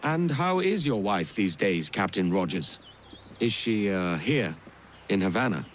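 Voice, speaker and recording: An actor playing a roguish, bluffing pirate in a video game, with a wry, casual delivery. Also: male voice, fairly low pitch, big pitch swings, a little slow, slight background noise. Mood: evasive. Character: roguish, bluffing young male pirate posing as gentleman. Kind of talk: video game cutscene acting.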